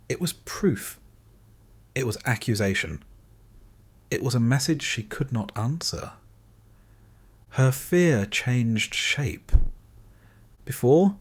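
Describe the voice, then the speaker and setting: A man narrates a scripted bedtime story in a calm, soothing, measured way, in deliberate short phrases with pauses. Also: medium pitch.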